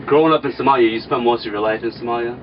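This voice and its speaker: Quick-talking laid-back young interviewer. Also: male voice, medium pitch, fairly even intonation. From American Midwest. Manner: laid-back, loose slang, rambling questions. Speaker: interviewer, nonprofit president. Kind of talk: casual interview. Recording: distant, dull, noisy.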